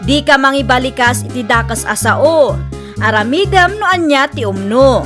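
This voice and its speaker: A woman's voice: high voice, animated, normal speed, over music. A woman with a Filipino accent announcing a radio drama in scripted narration.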